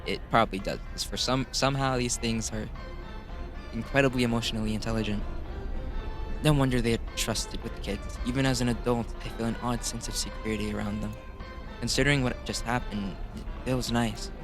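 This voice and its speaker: A man's voice: medium pitch, steady tone, brisk. A man hosting a gaming video, reading a visual novel aloud with casual commentary and correcting himself when he stumbles. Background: music.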